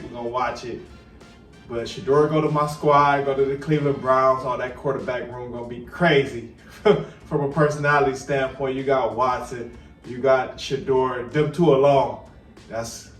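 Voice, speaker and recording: A man giving casual, slangy sports commentary as a video host, repeating phrases for emphasis, recorded roomy and a little distant. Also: mid-range voice. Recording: roomy, distant mic, music behind.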